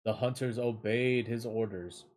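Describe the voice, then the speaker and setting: Slow-reading man telling a fairy tale. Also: on the low side, steady tone, a little mellow. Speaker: amateur storyteller, streamer.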